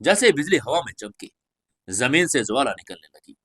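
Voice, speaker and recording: A man's voice: medium pitch, sing-song, moderate pace, bright, forceful tone, breathy edge. A man reading a scripted fantasy audio story as narrator, with emphatic, dramatic storytelling delivery.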